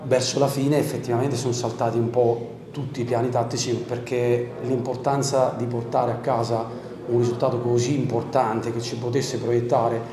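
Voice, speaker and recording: A man in his forties, an assistant football coach, answering questions at a post-match press conference into a desk microphone, measured and thinking aloud with many fillers. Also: medium pitch, level tone. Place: press room in front of a sponsor wall. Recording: roomy press room with crowd noise.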